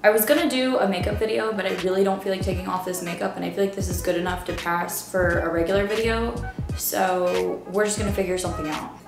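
A woman's voice: mid-range voice, steady tone, fast talker, gravelly. A woman from Maryland rambling casually to camera in a vlog, full of "like" fillers. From Baltimore area, Maryland. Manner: rambling, filler-heavy "like" talk. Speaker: vlogger. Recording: roomy echo with music behind.

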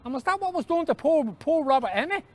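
Guy doing a nosy little irish boy in a story, eager and persistent, really high voice. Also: animated, fast talker. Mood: curious, eager. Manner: eager questioning.

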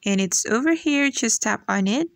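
A woman's voice: on the high side, animated, normal speed, noisy background. A woman narrating a step-by-step how-to tutorial as its host.

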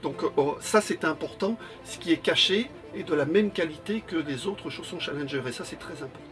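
A man's voice: a little high, fairly quick, loud music behind the voice. A man explaining a new motorhome model in an interview inside the vehicle, in a matter-of-fact way. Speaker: manufacturer representative.

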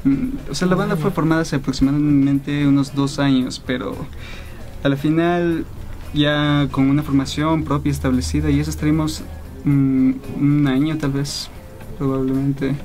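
A young man from Ecuador answers questions about his band in a music studio. His voice sits a little high. He rambles, pausing on fillers as he explains. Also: fairly even intonation, a little mellow. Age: 20s. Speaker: band guitarist. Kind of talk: casual interview answers.

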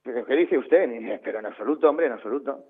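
A man's voice: mid-range voice, quick pace, slightly rough. A man speaking politely but firmly and formally in a phone conversation, over a narrow phone line. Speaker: prank call victim.

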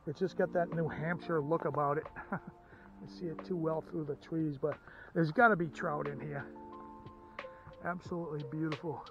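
A middle-aged man with a slightly high voice talks folksily and enthusiastically to his camera, as an outdoor vlogger would. The sound is a little muffled, with some music behind him. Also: fairly even intonation, normal speed, pauses often. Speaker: outdoors and ham radio vlogger. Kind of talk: casual vlog commentary. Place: outdoors, by a stream and at a parked car.

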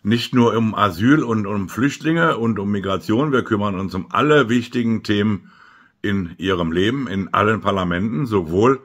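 A man giving informal political commentary, halting and stretching words for emphasis. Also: lowish voice, fairly bright tone. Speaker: politician hosting a weekly video update. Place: small room at home by a window, indoors.

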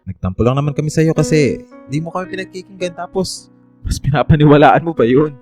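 A man's voice: fairly high pitch, lively intonation, a little fast, a little mellow, soft background music, close to an everyday voice. A man playing a blunt, teasing young online friend who sulks playfully in a radio drama. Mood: sulky, scolding.

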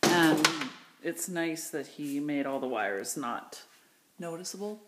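A woman's voice: sits low, expressive, slow pace, heavy background noise. A woman filming with a handheld phone as she walks through her large indoor craft studio, chatting eagerly about her new shelves and storage. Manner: chatty, enthusiastic, rambling with fillers. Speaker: studio owner giving a tour. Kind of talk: casual walkthrough vlog. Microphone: handheld phone camera.

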